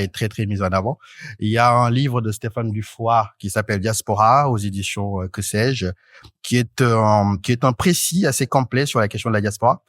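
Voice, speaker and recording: A man in his thirties from Senegal, speaking as a talk show panelist in an articulate, academic way, often pausing on hesitant fillers. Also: mid-range voice, fairly bright tone, dry recording. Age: 30s. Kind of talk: panel discussion.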